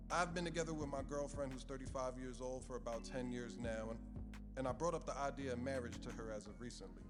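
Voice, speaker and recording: A man's voice: mid-range voice, long reverb tail. A man co-hosting a radio show, reading a listener's letter aloud and dropping slangy asides. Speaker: radio co-host.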